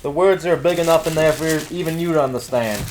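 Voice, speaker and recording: A man's voice: on the high side, brisk, a little mellow, a little hiss or hum, rough recording. A man co-hosting a shop news show with clowning, casual comic banter. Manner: jokey, clowning. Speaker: co-host of a store news show.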